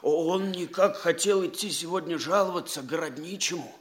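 A man plays an inn servant in a theatrical audio play. His voice is on the high side for a man, and he answers with servile hesitation, dodging politely yet stubbornly. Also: moderate pace, lightly acted. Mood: reluctant. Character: deferential but stubborn male inn servant. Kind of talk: theatrical audio drama acting.

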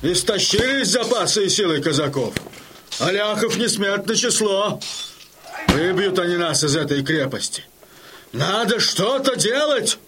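An actor playing a battle-weary, desperate Cossack warrior, urgent and pleading, in an audio drama. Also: male voice, sits high, expressive, measured pace, background noise. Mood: urgent, worried.

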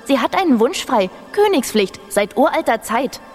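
High-voiced, cheeky mouse princess. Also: female voice, very animated, normal speed. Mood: insistent. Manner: pert, pushy, insistent. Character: bold, cheeky, bossy young mouse princess. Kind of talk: children's radio play acting. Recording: hall reverb, music underneath.

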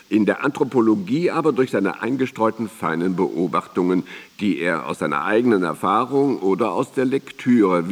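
An actor playing an admiring contemporary who recalls a scholarly friend in deliberate, literary radio drama recitation. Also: male voice, on the low side. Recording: reverberant studio, digitized cassette radio play.

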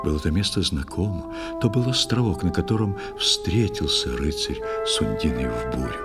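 A man narrating a poetic audio drama in verse with expressive, literary declamation. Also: rumbling low, normal speed, loud music behind the voice.

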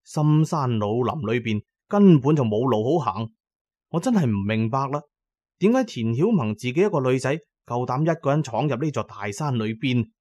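A man's voice: medium pitch, lively intonation, normal speed, warm tone, no room sound, clean studio sound. A man narrating a scripted first-person story in a dramatic storytelling manner. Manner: dramatic storytelling, voicing characters' lines.

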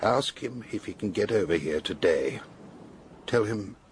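An actor playing a wealthy, strong-willed older English gentleman in a radio drama, speaking bluntly and formally. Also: on the low side, animated, measured pace, a little hiss or hum. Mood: commanding.

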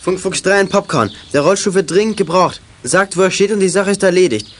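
A man's voice: high-pitched, a little fast. A young male actor playing a bossy, level-headed boy detective with clipped phrasing in a 1980s radio play. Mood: firm, organizing. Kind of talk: 1980s German youth radio play acting.

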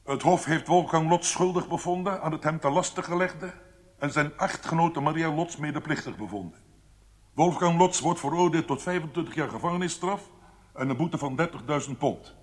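An actor in a radio drama playing a stern court official, reading out formally and solemnly. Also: male voice, on the high side, moderate pace, lightly acted.

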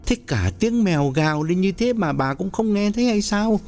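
A man's voice: on the high side, very animated, normal speed, darkish tone, faint music behind, pitched above the performer's own voice. A man voicing a frightened, weary older husband who speaks in a tense, troubled way. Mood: fearful.

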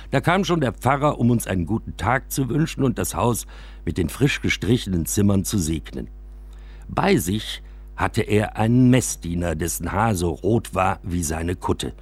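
A man reading a first-person literary narrative in an expressive storytelling manner. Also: on the low side, normal speed, breathy edge. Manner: expressive, acts out quoted dialogue. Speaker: narrator and reader of a memoir.

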